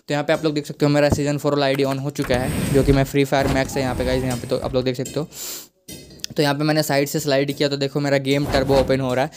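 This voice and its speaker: Man, Indian accent, casual tutorial host, even monotone pitch, rapid, repetitive. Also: noisy background. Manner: repetitive, addresses viewers as guys. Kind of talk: casual screen-recorded tutorial.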